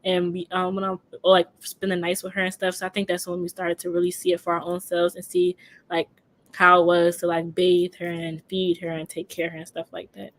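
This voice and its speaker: A woman's voice: on the low side, completely flat delivery, fairly quick. A woman, chatting casually as a panel guest. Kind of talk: casual chat.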